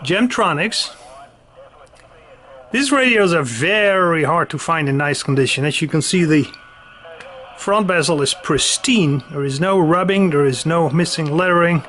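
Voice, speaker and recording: A man's voice: fairly high pitch, lively intonation, a little slow, a bit bright. A man giving a casual, matter-of-fact hands-on demonstration, explaining as he goes. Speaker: presenter demonstrating vintage radio gear. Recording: constant radio static and hiss behind voice. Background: CB radio noise and chatter.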